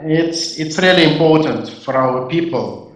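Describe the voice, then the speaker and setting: Slow, measured man in a roomy hall. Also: on the high side, recorded from a distance. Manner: measured, deliberate, with short pauses between phrases. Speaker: guest answering audience questions. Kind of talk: formal Q&A answers. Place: university auditorium.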